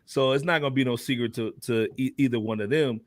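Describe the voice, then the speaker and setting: A man's voice: medium pitch, fast, no room sound, clean studio sound. An American man hosting a casual hip hop discussion, speaking in slang with emphatic repetition. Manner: slangy, emphatic, repeats himself. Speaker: podcast host.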